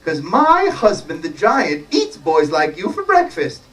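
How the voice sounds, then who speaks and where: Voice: medium pitch, huge pitch swings, unhurried, a bit bright. A storyteller voicing a bossy, nagging female giant in a children's tale, recorded at a distance with noise. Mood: scolding. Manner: nagging, exclamatory. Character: bossy, shrill giant's wife, nagging housewife. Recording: noisy, distant microphone.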